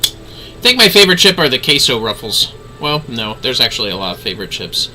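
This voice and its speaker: Male game streamer, very bright lively voice, easygoing and chatty, slight background noise. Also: medium pitch, fairly quick. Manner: easygoing, chatty, calls viewers fellas. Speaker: livestreaming gamer host. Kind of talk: casual gaming livestream chat.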